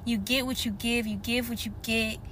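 A woman hosting her podcast in casual, slangy chat, a little hoarse from a cold. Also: on the high side, moderate pace, a little hiss or hum. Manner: animated, slangy and chatty. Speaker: podcast host. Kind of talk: casual spiritual podcast talk.

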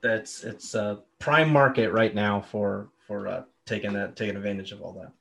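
A man hosting a casual gaming chat, relaxed and full of fillers like "um" and "like". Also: lowish voice, moderate pace, vocal fry. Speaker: podcast host.

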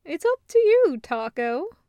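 Woman voicing a high-pitched, emphatic, caring sister. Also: very animated, dark tone, no echo, lo-fi sound, heavily acted. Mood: concerned, emphatic. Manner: emphatic, casual, halting self-corrections. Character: caring, foul-mouthed sister, a national hero.

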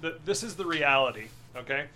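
A man with a bright, forceful, fairly high voice talks as a screenwriter on a panel. He is earnest and emphatic, halting and restarting as he goes. There is clear background noise in the room. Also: expressive. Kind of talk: casual panel discussion.

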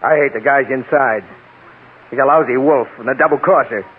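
An actor playing a weary, grieving husband who speaks haltingly in an old-time radio crime drama. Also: male voice, mid-range voice, husky, lightly acted. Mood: bitter, agitated. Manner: halting, stammering. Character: grieving, weary husband whose wife was murdered. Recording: muffled, narrow-band old radio recording.

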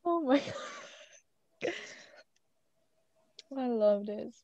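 A woman's voice: fairly high pitch, lively intonation, dark tone, no room sound, highs cut off. A teenage girl in casual, teasing chat with a friend, giggling often. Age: teens. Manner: giggly, teasing, quick banter. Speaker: co-host.

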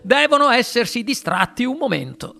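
A narrator voicing an eccentric, playful male candy maker in an audiobook, with a lively, theatrical delivery. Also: normal speed, vocal fry, full character voice. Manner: lively, theatrical, raised pitch. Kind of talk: audiobook character voice.

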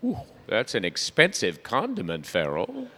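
An actor playing a bumbling, tender, apologetic wizard with a hesitant, stammering delivery in comic radio theatre. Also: male voice, fairly low pitch, wildly swooping pitch, measured pace, pushed and bright, heavily acted. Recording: hall reverb, music behind, live stage.

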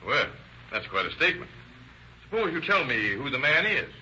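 A courteous, unruffled police lieutenant with a dry manner and a lively, very sharp mid-range voice. It comes from a muffled vintage radio crime drama. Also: male voice, fairly high pitch, moderate pace, heavily acted. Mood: probing. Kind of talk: 1930s-40s radio drama acting. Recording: muffled old radio recording, faint noise.

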